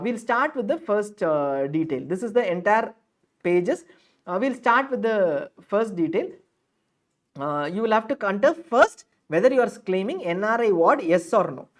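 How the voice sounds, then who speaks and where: Woman, brisk tutorial presenter, patient explainer with lots of "uh"s. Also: mid-range voice. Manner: explanatory, repeats and rephrases points with frequent fillers. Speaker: counselling guide presenter. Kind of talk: screen-share tutorial walkthrough.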